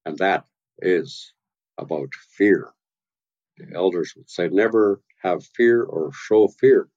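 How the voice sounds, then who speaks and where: A man in his sixties from Canada's Pacific coast speaks in a low, dark voice, unhurried and gentle as he passes on old teachings. The sound is dry and close, with a slightly muffled, lo-fi edge. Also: lively intonation, no echo. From west coast of Vancouver Island, Canada. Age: 60s. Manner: slow, gentle, unhurried telling with soft chuckles. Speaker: guest, carver and elder. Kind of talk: reflective storytelling. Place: his home and carving workshop.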